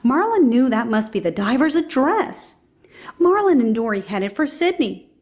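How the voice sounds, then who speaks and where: A woman's voice: a little high, sing-song, moderate pace, a little mellow. A woman reading a children's storybook aloud as scripted narration.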